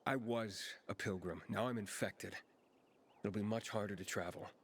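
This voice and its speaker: Low-voiced determined young wanderer. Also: male voice, fairly even intonation, normal speed, husky. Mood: questioning. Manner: terse, questioning, understated. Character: young male wandering pilgrim, determined, guarded newcomer. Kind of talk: video game cutscene acting. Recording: game music behind the voice.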